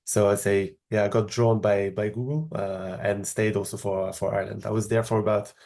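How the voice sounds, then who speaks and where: Guy from morocco w a french accent, kinda low voice, explains stuff slowly, lots of uhs. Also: little pitch movement, vocal fry, darkish tone. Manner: measured, explanatory, frequent hesitations. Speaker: podcast guest, startup founder. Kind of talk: casual interview answers.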